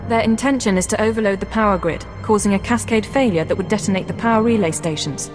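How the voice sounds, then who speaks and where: An actress playing an earnest, composed intelligence analyst in a video game, precise with slight hesitance. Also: female voice, medium pitch, fast, close to an everyday voice. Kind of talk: video game cutscene acting. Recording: reverberant hall, faint music underneath.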